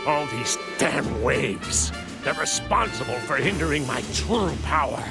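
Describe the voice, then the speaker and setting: A man's voice: medium pitch, lively intonation, unhurried. A man playing an arrogant, sadistic bio-android monster in an anime game dub, sneering and theatrical. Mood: angry, threatening. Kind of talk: English anime game villain acting. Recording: very loud music and effects behind.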